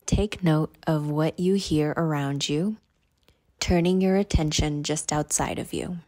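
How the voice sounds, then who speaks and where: A woman leading a guided meditation in a measured, instructional way. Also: deep voice, fairly even intonation, warm tone.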